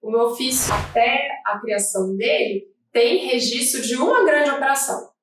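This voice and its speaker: Woman speaking in an echoey room. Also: sits high. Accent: Brazilian Portuguese, Rio de Janeiro. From Brazil. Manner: measured, thoughtful, with frequent restarts. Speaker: federal prosecutor. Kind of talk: oral history interview. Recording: echoey room, mic at a distance.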